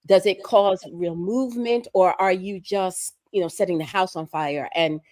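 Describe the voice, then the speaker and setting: A hoarse woman, sick with a respiratory virus, making earnest, emphatic points as a guest on a livestream conversation. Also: fairly low pitch, moderate pace, a little mellow, no room sound, clean studio sound. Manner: earnest, emphatic, building points through repetition. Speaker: guest commentator.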